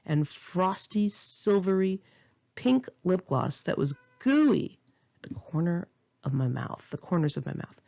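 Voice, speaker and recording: Female narrator for candid memoir, animated delivery with some vocal fry, slightly dull lo-fi sound. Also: fairly low pitch. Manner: candid, informal, wry. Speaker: author reading own memoir aloud. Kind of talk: conversational memoir narration, read in one take.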